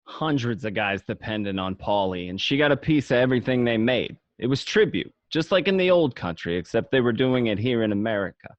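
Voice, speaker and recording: A man playing a cocky young wise guy looking back on his mobster youth in an amateur radio play, talking colloquially and a little haltingly. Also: mid-range voice, lively intonation, no echo, dull audio, lightly acted. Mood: admiring, explanatory. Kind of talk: first-person narration in an amateur radio play.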